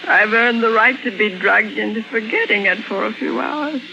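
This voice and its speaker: An actress playing an anguished woman patient with lost memory, in an intense, halting 1950s radio drama style. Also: a little high, vocal fry, pushed and bright. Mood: despairing. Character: anguished amnesiac woman patient who believes she murdered. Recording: muffled vintage radio recording with music.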